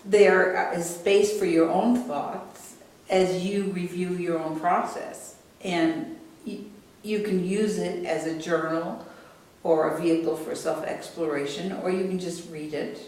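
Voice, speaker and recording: An American woman in her late sixties speaking as an author, measured, candid and thoughtful. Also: steady tone, a little mellow. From United States. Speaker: author and artist being interviewed. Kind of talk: reflective interview talk and reading aloud from own book. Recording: roomy echo, distant mic, rumbly noise.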